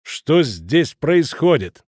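A man voicing a stern, commanding man with a resolute booming voice in an audiobook. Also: big pitch swings, extremely slow, a little mellow, slightly rough, no room sound, studio quality, full character voice.

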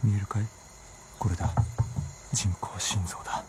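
A man playing a grave, devoted scientist husband in a radio drama, with a hushed, intense manner. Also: lowish voice, steady tone, moderate pace, heavy background noise, close to an everyday voice. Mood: hushed, tender. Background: music.

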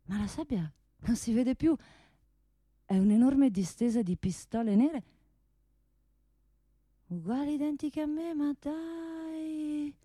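A woman's voice: high voice, slow pace, pauses often, warm tone, no echo, studio quality. A woman playing a proud, world-weary talking pistol in a radio theatre monologue, bossy and sardonic in a dramatic storytelling way.

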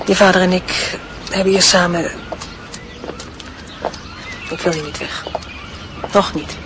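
An actress playing a calm, soft-spoken widowed mother in an old radio drama, with a noisy recording. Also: fairly low pitch, slow. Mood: calm, reassuring. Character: widowed mother, gentle, quietly resigned.

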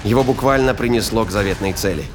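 Low-voiced male audio drama narrator. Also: fast talker. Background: music and sound effects.

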